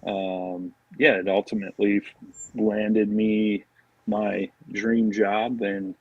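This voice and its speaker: A man with a lowish, husky voice chats as a guest on a podcast. He is easygoing and informal and takes his time, speaking very slowly. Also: level tone, no echo, phone-quality sound. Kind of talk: casual chat.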